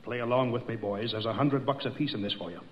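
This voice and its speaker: An actor playing a fussy, ingratiating hotel manager in an old radio comedy, always placating and scheming. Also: male voice, medium pitch, fast talker, lightly acted. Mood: conspiratorial. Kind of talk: 1950s radio comedy acting. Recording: dull, hissy old radio recording.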